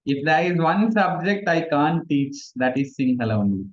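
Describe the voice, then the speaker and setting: A man's voice: on the high side, sing-song, moderate pace, dull audio. Chatty Sri man tutoring online. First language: Tamil. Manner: chatty, mixes in Sinhala, laughs at himself. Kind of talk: online exam revision class. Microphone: video call.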